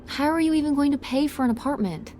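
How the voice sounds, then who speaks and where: Woman acting as a sulky, high-voiced teenage daughter. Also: quick pace, dark tone, lightly acted. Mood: worried, challenging. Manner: pointed, questioning, sulky. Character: worried, challenging teenage daughter named Tess. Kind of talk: naturalistic video game voice acting. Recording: clear background ambience noise.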